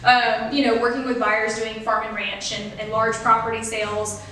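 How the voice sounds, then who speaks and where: Young woman from Texas, upbeat and talkative, slightly high voice, echoey room away from the mic. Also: flat delivery, moderate pace, sharp tone, far from the mic. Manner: upbeat, talkative, laughs often. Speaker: real estate agent, guest. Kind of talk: casual interview chat.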